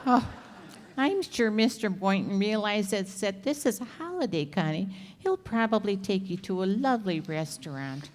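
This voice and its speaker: A woman's voice: mid-range voice, expressive, normal speed, natural voice. Woman playing a kindly landlady, hall reverb. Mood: sympathetic. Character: kindly, chatty landlady and sympathetic confidante. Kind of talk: old-time radio comedy acting. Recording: reverberant hall stage recording.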